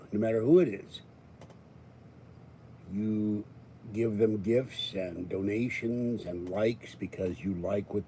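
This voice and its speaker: An older American man hosting his own channel, talking in a rambling, casual way with jokey asides and frequent throat-clearing. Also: on the low side, warm tone, a little hiss or hum. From Pittsburgh, United States. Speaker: YouTube channel host. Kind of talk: rambling casual monologue to viewers.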